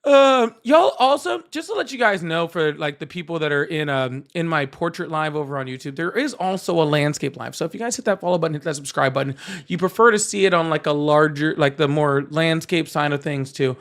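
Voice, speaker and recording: A slightly higher-voiced man hosting a political livestream and taking callers. He talks in an animated, chatty way, laughs easily and keeps checking in with "all right?" as he reads chat comments aloud. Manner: argumentative with callers. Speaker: livestream host. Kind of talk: casual livestream call-in chat.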